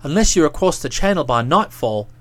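Woman putting on a pompous young gentleman author. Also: big pitch swings, a little fast, dry recording. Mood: lecturing. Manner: low, pompous, drawling. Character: bluff young male author, airily self-justifying.

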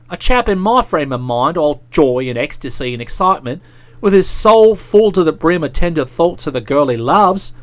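A woman voicing a bluff young male author who lectures and justifies himself in a low, pompous drawl. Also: no echo.

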